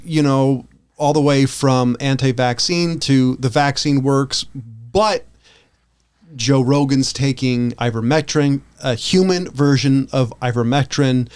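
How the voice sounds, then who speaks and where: A man co-hosting a podcast, talking through his opinions casually in a halting, rambling way with frequent restarts. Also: mid-range voice, clear, resonant tone. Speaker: podcast co-host.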